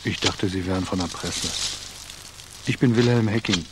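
A man's voice: low-pitched, moderate pace, close to an everyday voice. An actor playing a curt, defensive estate employee, loyal to his boss, in a radio crime drama. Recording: clearly noisy background.

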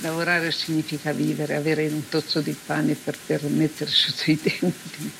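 Older woman, from Italy, creaky voice, plain-spoken, emphatic, oral history, light background noise. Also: low voice, completely flat delivery, moderate pace, a bit bright, low-quality recording. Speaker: former factory worker giving testimony.

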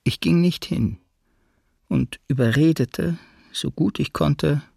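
A man's voice: a little high, slow pace, creaky, mellow, breathy edge, no echo, studio quality, full character voice. An actor playing a weary, earnest witness reflectively telling his memoir in a documentary radio play. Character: male witness recalling failed warnings, weary, earnest.

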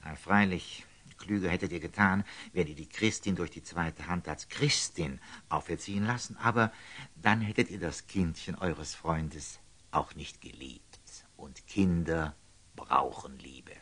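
An actor playing a humble, kindly old lay brother in a radio play, husky and gentle, speaking classical verse haltingly. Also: male voice, deep voice, full character voice. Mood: warmly earnest.

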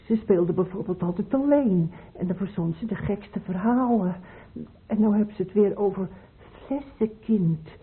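A woman's voice: medium pitch, moderate pace, close to an everyday voice. A woman acting an anxious, fussing middle-aged mother in a radio drama, hesitant and solicitous. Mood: hesitant confiding. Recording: muffled phone-like old radio recording.

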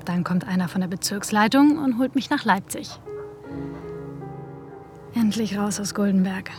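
A woman's voice: mid-range voice, a little slow, over music, close to an everyday voice. Actress as a wry, wistful career woman. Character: ambitious woman manager looking back on lost love. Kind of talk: radio drama first-person narration and dialogue.

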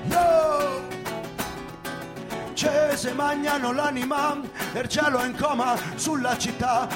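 Man, Roman accent, high voice, slow rhythmic rap, loud band behind. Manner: rhythmic rapping with shouted hooks. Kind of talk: rap over live music.

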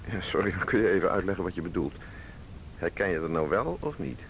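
A police detective in a radio play, with a low voice. He is calm and probing, formal and measured, over loud background noise. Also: vocal fry. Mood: probing, polite. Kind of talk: Dutch radio drama acting. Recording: noisy radio play, vehicle ambience.

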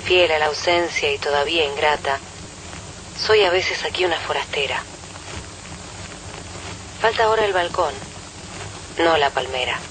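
Male poetry reader, somewhat high register, audible noise behind the recitation. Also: normal speed, pauses often, pushed and bright. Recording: noisy recording.

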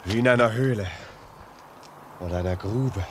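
A man's voice: lowish voice, moderate pace, close to an everyday voice. Man playing a curious journalist, noisy old recording. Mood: amazed. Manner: polite, inquisitive, exclamatory. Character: curious, polite male journalist visiting a railway gorge. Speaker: actor in a radio play. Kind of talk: German radio drama acting.

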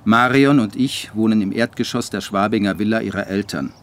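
An actor playing a brooding postwar writer who narrates his life in a measured, dry, literary way for a radio drama. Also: fairly low pitch, a bit bright. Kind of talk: scripted radio drama monologue.

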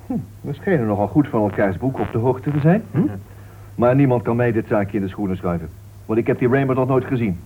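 A man's voice: medium pitch, lively intonation, fairly quick, close to an everyday voice. A man playing a grumbling, defensive crime writer in a Dutch radio play, protesting with questioning grunts. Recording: old radio recording, narrow tinny band, hiss.

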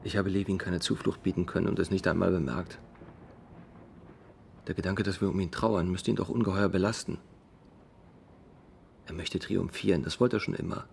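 An actor playing a troubled, self-reproaching father in a naturalistic radio drama monologue, speaking in a halting, brooding way. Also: low voice, fairly even intonation, vocal fry, a little mellow, a little hiss or hum.

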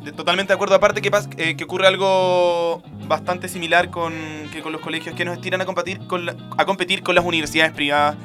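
A man hosting a radio talk show and introducing sections with brisk announcing. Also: high voice, little pitch movement, over music. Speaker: radio host.

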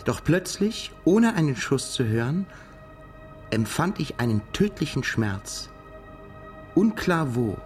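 An actor playing a brooding, haunted émigré narrator in a literary radio drama, speaking in a halting, self-correcting way. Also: male voice, on the high side, big pitch swings, soft background music. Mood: absorbed, tense. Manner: halting, self-correcting while translating aloud from English. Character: brooding Russian émigré man, narrator haunted by a past shooting.

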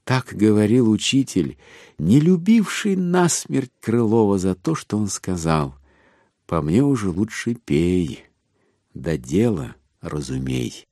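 A man narrating classic literature for an audio drama, expressively, with measured phrasing and dramatic pauses. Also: on the low side, sing-song, mellow, no echo, clean studio sound.

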